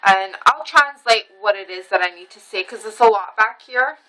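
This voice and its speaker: A woman's voice: mid-range voice, expressive, normal speed, pushed and bright, rough recording. A woman rambling casually to camera in a dim room at home, a bit tipsy from red wine and talking with loose, emotional wandering and many ums. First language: Armenian heritage speaker, reads Western Armenian. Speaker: vlogger.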